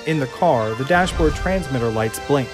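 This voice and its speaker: A man reading stage directions aloud as narrator in an even, descriptive way. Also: mid-range voice, normal speed. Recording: loud music underneath.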